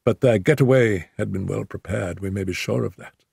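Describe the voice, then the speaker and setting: A man voicing an injured detective who mutters in a weak, hoarse whisper, wry and scheming, in an audiobook. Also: fairly low pitch, normal speed, darkish tone, dry recording, studio quality, voice pushed up, full character voice. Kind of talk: audiobook character voice.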